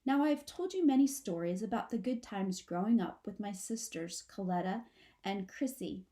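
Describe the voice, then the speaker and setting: Woman voicing a theatrical, mellow mother possum. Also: medium pitch, lively intonation, moderate pace, lightly acted. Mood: wistful. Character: mother possum, theatrical, firm but wistful parent.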